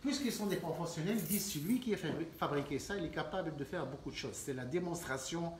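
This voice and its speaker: A man's voice: a little high, fast. A man with a Tunisian accent giving a spontaneous guided tour, explaining things and repeating words for emphasis. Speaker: artist and cultural centre owner showing a visitor around. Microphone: visitor's handheld phone. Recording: noisy phone recording on location.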